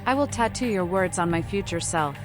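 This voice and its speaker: A woman's voice: on the low side, lively intonation, fairly quick, music in the background, close to an everyday voice. A woman voicing a mad, childlike young vampire in a video game, speaking in giddy, cryptic, singsong riddles. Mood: sly, cryptic.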